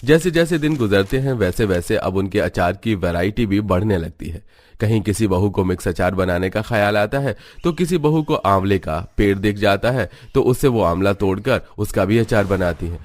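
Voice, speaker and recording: A male narrator reads a scripted cartoon story. His voice is on the low side, in a storytelling style. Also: expressive, normal speed, darkish tone, no echo.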